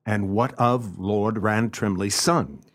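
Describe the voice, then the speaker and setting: A man playing a shrewd, polite, skeptical gentleman detective in a radio play, speaking formally and with measured courtesy. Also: lowish voice. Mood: skeptical, probing. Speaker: detective and narrator.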